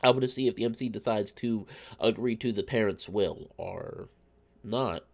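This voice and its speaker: A man's voice: on the low side, normal speed, vocal fry. A slightly sick man hosting a let's play stream, chatting in a rambling, apologetic way. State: a little sick.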